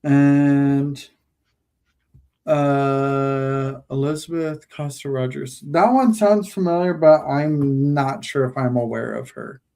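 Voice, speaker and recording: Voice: mid-range voice, fairly even intonation, takes it slowly, mellow. The host of a craft livestream chatting casually, hesitant with many ums. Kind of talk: casual chat.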